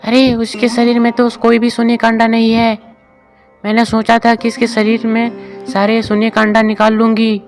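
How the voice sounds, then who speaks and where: Man putting on a high, shrill greedy village wife. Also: completely flat delivery, moderate pace, gravelly. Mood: dismayed. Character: greedy scheming farmer's wife, high-voiced woman. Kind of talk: cartoon moral-story voice acting. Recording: music bed under the voice.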